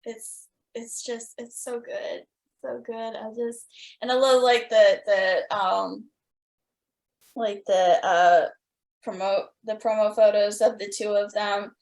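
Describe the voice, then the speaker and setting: A woman interviews in a casual, gushing way, feeling her way through sentences. Her pace is slow and unhurried, and the sound is roomy and echoey. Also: medium pitch, steady tone, a little mellow. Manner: gushing, hesitant phrasing with repeated likes. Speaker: podcast interviewer.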